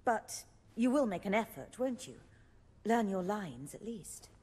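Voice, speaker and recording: A woman playing a refined, self-assured stage actress and director in a video game, speaking in a measured, articulate, theatrical way. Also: medium pitch, big pitch swings, mellow, breathy edge, big-room sound. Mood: poised. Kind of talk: video game dialogue acting.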